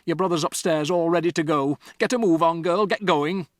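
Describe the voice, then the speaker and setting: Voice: mid-range voice, fairly quick, no echo, studio quality, voice pushed up, natural voice. A storybook narrator voicing an impatient, bullying father who barks curt orders. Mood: snapping.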